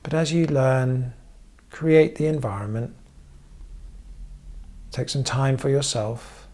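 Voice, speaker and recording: Male meditation guide, very creaky mid-pitched voice, unhurried gentle instruction with frequent pauses. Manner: measured, pausing often between short phrases. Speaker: therapist leading guided meditation.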